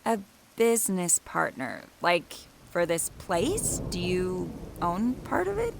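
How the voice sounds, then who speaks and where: A woman's voice: on the low side, huge pitch swings, slow, creaky, background noise, full character voice. A woman playing a skittish, sardonic young college student in an audio drama, casual and hesitant, often muttering to herself. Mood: wary, puzzled. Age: young adult. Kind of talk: naturalistic audio drama acting.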